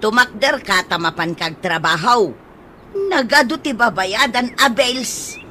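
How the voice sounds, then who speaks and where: A woman's voice: fairly high pitch, a little slow, a crowd in the background, close to an everyday voice. A woman playing a plain-spoken middle-aged mother who frets about money, with an Ilocano accent, in a radio comedy drama. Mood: nagging.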